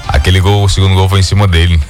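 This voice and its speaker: A man's voice: deep voice, rapid-fire, faint music behind. A Brazilian man hosting a sports radio show, chatting casually and with a lot of slang. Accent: Brazilian Portuguese. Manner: relaxed, slangy banter with frequent fillers.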